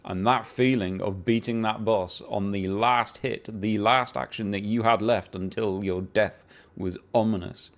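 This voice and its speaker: A man with a fairly low voice talks off the cuff about a game he has been playing. He rambles with plenty of restarts and the occasional swear word, and now and then builds into a fired-up burst. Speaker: gaming video creator. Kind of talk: casual unscripted game talk to camera.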